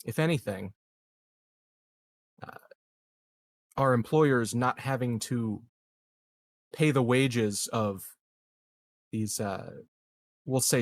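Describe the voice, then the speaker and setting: A man playing a calculating colonial leader in a tabletop game, speaking formally and haltingly like a careful politician. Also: medium pitch, vocal fry, dry recording. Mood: evasive, diplomatic. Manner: formal, measured, halting diplomatic phrasing. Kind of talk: improvised tabletop roleplay dialogue.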